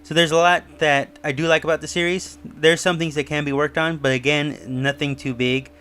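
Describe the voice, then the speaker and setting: A man's voice: on the high side, level tone, moderate pace, a bit bright, clean tone. A man giving casual, speculative video commentary in a musing, slightly rambling way as host. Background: music under the voice.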